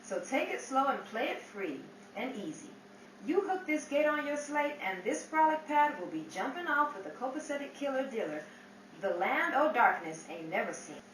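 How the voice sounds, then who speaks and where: A woman's voice: sits high, sing-song, normal speed, a bit bright. A woman playing a wisecracking, slangy 1930s nightclub go-between in a theatrical old-time radio style. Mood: swaggering, slangy. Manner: theatrical, jive-slang patter. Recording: roomy, distant mic, hissy background.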